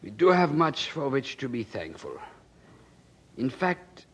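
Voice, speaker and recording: A man's voice: mid-range voice, very animated, measured pace, muffled recording. An actor playing a stern, bitter peasant father in a radio drama, blunt and weary.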